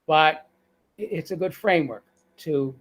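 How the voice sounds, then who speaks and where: A man's voice: fairly high pitch, measured pace. A middle-aged man with a Boston accent, talking as a guest on a live video call, with many fillers and restarts. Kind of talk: casual live-stream interview.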